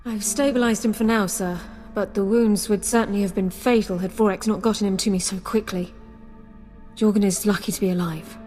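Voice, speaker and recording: A woman's voice: mid-range voice, steady tone, a little fast, a little mellow, long reverb tail, faint music behind, lightly acted. An actress playing a dutiful, composed female field medic in a video game, speaking precisely. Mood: composed concern. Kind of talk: video game cutscene acting.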